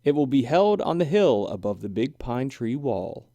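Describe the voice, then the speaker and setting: A man's voice: mid-range voice, sing-song, normal speed, mellow, no room sound. A man playing a male animal judge in a storybook, laying out rules in an official, instructive manner.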